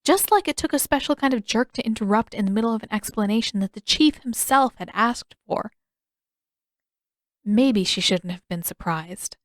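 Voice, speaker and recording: A woman's voice: fairly high pitch, lively intonation, moderate pace, dry recording. A woman reading a scripted story aloud as an audiobook narrator. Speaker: fan fiction author reading own story.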